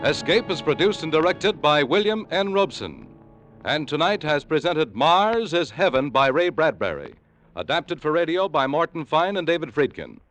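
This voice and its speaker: A man's voice: on the high side, big pitch swings, moderate pace, fairly bright tone, faint music behind. A man with an American accent, a polished, emphatic announcer in mid-century radio style. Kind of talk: 1950s radio announcing and sponsor read.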